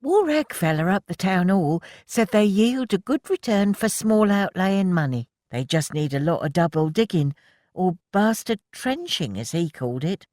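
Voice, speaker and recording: A woman's voice: fairly low pitch, big pitch swings, moderate pace, a little mellow, no room sound, clean studio sound, voice pushed up. A woman voicing a cheerful, kind young working woman who speaks reassuringly.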